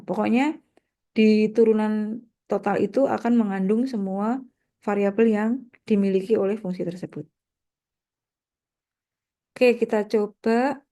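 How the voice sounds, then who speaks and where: A woman's voice: mid-range voice, gravelly, dark tone. A woman with a nasal voice from a slight cold, explaining step by step as a lecturer and often checking in with short tags. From Indonesia. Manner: didactic, with frequent check-in tags. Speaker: university mathematics lecturer.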